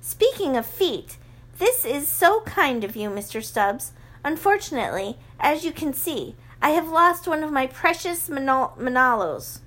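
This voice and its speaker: A pampered, vain grand lady, as a woman reader puts her on, lifted high in pitch. Her talk is genteel, affected and fussy, like a storybook aristocrat. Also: big pitch swings, unhurried, a bit bright, full character voice.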